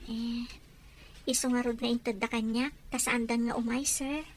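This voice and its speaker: A woman's voice: on the high side, steady tone, moderate pace, slight background noise, natural voice. A woman with a Filipino accent playing a polite, worried young housemaid in an audio drama. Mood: respectful.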